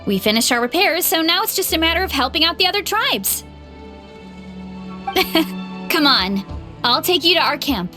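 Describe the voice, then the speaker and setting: A young woman voicing a bubbly, eager female athlete in a game, speaking with excited enthusiasm. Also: very high-pitched, normal speed, pauses often, over music. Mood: humble. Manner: bubbly, enthusiastic, talks with excited warmth about meeting heroes. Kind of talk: game voice acting.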